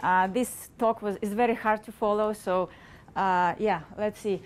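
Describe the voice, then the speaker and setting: A middle-aged woman from Ukraine, speaking English with a Ukrainian accent, gives a public talk in halting, informal phrasing, using a handheld mic in a store event room before a small audience. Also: mid-range voice, fairly even intonation. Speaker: guest speaker.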